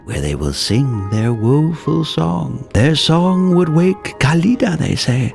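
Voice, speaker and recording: Creaky-voiced, cryptic solitary philosopher. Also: mid-range voice, sing-song, darkish tone, faint music behind, full character voice. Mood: solemn. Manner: measured, terse, aphoristic, imperious. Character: solitary, cryptic philosopher living alone among candles. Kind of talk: video game character dialogue.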